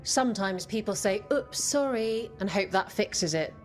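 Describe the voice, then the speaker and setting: A woman's voice: mid-range voice, animated, unhurried, close to an everyday voice. An actress voicing a brash, pirate-like adventurer woman with a swaggering storyteller's manner in a video game. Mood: earnest explaining. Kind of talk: video game cutscene dialogue. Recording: game music behind the voice.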